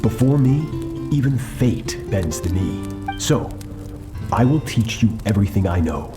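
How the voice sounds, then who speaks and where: Stern swordmaster, low-pitched, dramatic swings in intonation, proud, imperious, video game drama, music behind. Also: male voice, lively intonation, unhurried. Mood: proud, hungry. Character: cold, ruthless swordmaster, proud and battle-hungry.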